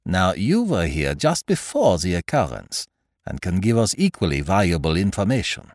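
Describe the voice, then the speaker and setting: A man voicing a genteel, shrewd foreign detective in an audiobook, speaking formally and deliberately with the odd French phrase. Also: sits low, sing-song, no echo, clean studio sound, exaggerated voice. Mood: polite, probing. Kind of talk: audiobook character voice.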